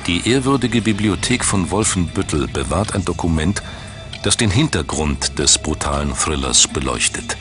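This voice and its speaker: A man reading scripted documentary narration in a measured, dramatic storytelling manner. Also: deep voice, expressive, fairly bright tone. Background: background music.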